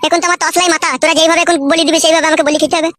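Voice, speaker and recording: Woman as a high, sulky village lad. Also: little pitch movement, rapid-fire, a bit bright. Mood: sulky submission. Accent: rural West Bengal. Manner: grumbling, defiant, crude back-talk. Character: stubborn, sulky young village man, defiant bigamist. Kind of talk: comic cartoon dialogue.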